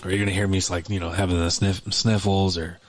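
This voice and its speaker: A low-pitched man chatting loosely as a podcast co-host. He rambles, restarts his sentences and leans on fillers as he talks. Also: level tone, fast, slight background noise. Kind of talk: casual chat.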